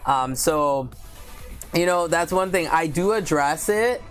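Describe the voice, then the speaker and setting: Slow-talking deep-voiced woman. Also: lively intonation, soft background music. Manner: chatty, candid, reads chat comments aloud; speaks slowly with many fillers. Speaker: book livestream host. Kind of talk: casual livestream chat.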